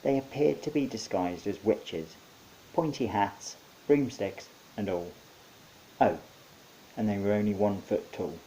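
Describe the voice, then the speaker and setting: A man's voice: fairly low pitch, measured pace, mellow, low-quality recording. A man narrating his own short story in a wry, conversational storytelling manner. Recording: faint background hiss.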